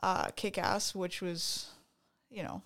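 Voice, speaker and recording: A woman's voice: on the low side, slow pace. Chatty canadian woman co-host. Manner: excited, chatty, self-interrupting. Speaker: podcast co-host. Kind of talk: casual chat.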